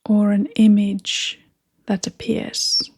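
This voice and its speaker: Slow, breathy woman guiding meditation. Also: mid-range voice, completely flat delivery. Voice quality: soft, breathy, half-whispered. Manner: gentle, unhurried, with long pauses. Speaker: meditation guide. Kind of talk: gentle guided meditation.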